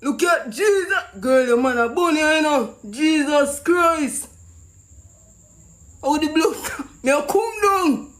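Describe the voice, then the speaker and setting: A Jamaican man with a strikingly high-pitched voice runs a prank call show. He comments in animated Patois, full of exclamations, curses and repeated phrases. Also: takes it slowly. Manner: exclamatory, repeats himself, swears.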